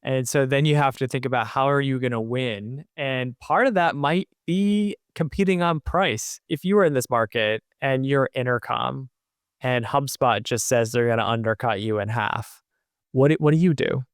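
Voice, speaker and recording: A man's voice: medium pitch, moderate pace, smooth, no room sound, studio quality. A man co-hosting a business podcast in a conversational, plain-spoken style. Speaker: podcast co-host, newsletter writer. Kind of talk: casual business podcast talk.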